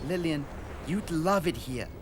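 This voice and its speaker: Animated, high-voiced earnest boy traveler played by a man. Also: unhurried, noisy background. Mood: wonder-struck. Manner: earnest, descriptive, full of wonder. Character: earnest, wonder-struck boy traveler recording for his sister. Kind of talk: radio drama acting with narrated audio diary.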